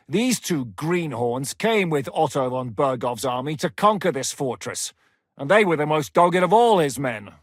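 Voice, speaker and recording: An actor playing a gruff, blunt one-eyed male commander who swears freely and jokes dryly in a video game. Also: a little high, a bit bright, no echo, clean studio sound, full character voice. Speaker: military commander.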